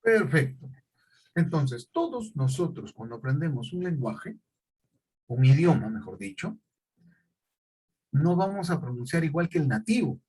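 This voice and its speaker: A man's voice: on the high side, big pitch swings, a little slow, pauses often, a little mellow, husky, low-quality recording. A middle-aged male teacher, lecturing a class and often checking in with questions.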